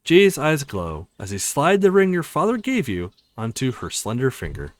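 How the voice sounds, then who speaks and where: A man's voice: medium pitch, huge pitch swings, normal speed. A man hosting a let's play, reading a visual novel aloud expressively with casual reactions.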